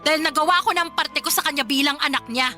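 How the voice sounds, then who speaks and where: A woman's voice: sits high, quick pace, sharp tone, soft background music. A woman playing an exhausted, resentful young daughter in a Tagalog radio drama, speaking emphatically with a Filipino accent. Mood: bitter, fed up. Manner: emphatic, pleading, emotional outbursts.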